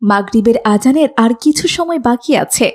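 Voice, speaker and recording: A woman's voice: fairly high pitch, lively intonation, normal speed, a little mellow. A woman narrating a scripted moral story for children.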